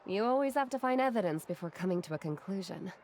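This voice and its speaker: An actress playing a patient, gently chiding research assistant in a video game, polite and composed. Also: female voice, mid-range voice, lively intonation, quick pace, a little hiss or hum, natural voice.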